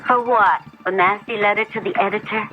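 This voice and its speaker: A woman's voice: mid-range voice, fairly even intonation, brisk, faint music behind, natural voice. An actress in a 1970s radio drama playing a skeptical, unimpressed woman with a wry, teasing manner. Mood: wry, mocking. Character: sardonic, skeptical, unimpressed female companion. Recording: noisy, muffled old radio recording.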